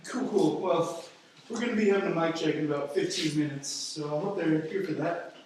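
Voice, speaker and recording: A man's voice: a little high, fairly quick, a little mellow, lightly acted. A man playing a casual, defensive, awkward school tech guy in a radio play, stammering now and then. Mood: awkward, friendly. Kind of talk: stage-style radio play acting. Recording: echoey room, distant mic, hiss.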